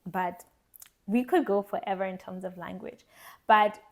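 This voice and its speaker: A young woman from South Africa giving a candid, reflective vlog monologue, earnest and thoughtful, often pausing and restarting her words. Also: mid-range voice. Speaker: vlogger.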